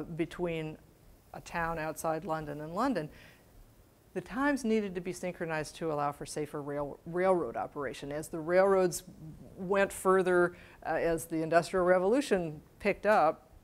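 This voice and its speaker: A middle-aged woman with a fairly low voice gives an academic lecture. She explains carefully and a little hesitantly, speaking into a lapel mic in a small meeting room. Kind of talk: prepared academic talk to an audience.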